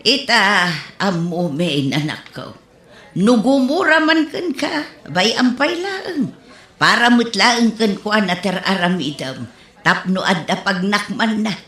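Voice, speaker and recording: Woman acting slow-talking, consoling mother, Ilocano accent. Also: medium pitch, very animated, fairly bright tone. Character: patient, consoling mother of grown daughters. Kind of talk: Ilocano comedy-drama acting. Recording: roomy echo.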